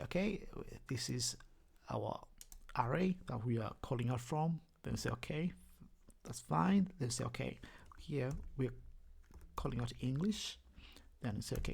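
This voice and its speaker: A man's voice: medium pitch, gravelly, darkish tone, a little hiss or hum. A young man with a thick Zimbabwean accent who talks through a casual screen-share tutorial, stumbling and repeating words as he goes. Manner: halting, many repeated words and restarts. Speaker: YouTuber.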